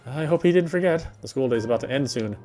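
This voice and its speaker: A man's voice: medium pitch, lively intonation, fast, warm tone. A man reading visual novel narration aloud, sometimes stumbling and repeating phrases. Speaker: playthrough reader.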